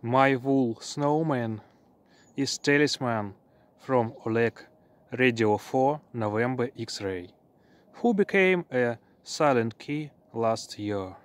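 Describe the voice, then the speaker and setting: A man in his thirties presenting a radio hobby vlog outdoors in short, plain, halting phrases. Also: mid-range voice, lively intonation. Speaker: amateur radio operator presenting his field outing. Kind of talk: simple scripted vlog narration. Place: outdoors in a snowy forest.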